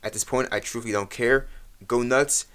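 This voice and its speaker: A man's voice: medium pitch, fairly even intonation, moderate pace, fairly bright tone. A man, a content creator, making a casual spoken statement in a loose, offhand way with fillers.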